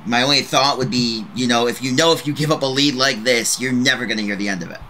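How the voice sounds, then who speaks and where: A man's voice: medium pitch, quick pace, pushed and bright, a little hiss or hum, poor audio. A man hosting an online game broadcast, putting questions to his co-commentators and laughing easily. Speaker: broadcast host. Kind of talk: sports commentary.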